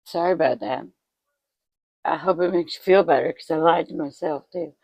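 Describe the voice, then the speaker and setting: A woman's voice: deep voice, normal speed, vocal fry, darkish tone, breathy edge, dry recording. A woman in her forties chatting casually to her vlog audience at home, self-mocking and swearing freely. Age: 40s. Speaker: vlogger.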